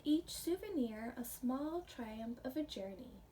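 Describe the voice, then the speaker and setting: Slow, high-voiced American woman reading to children. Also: expressive. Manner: gentle, child-directed, asks the listeners questions. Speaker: pre-K teacher. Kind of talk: children's picture book read-aloud with simple explanations. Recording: distant mic, faint background noise.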